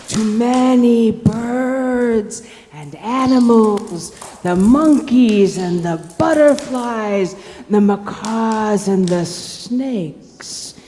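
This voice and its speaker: A woman voicing a big hungry jaguar with a growl and drawn-out phrases, in live storytelling. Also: mid-range voice, warm tone, full character voice. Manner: slow, drawn-out delivery. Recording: echoey hall, faint background noise.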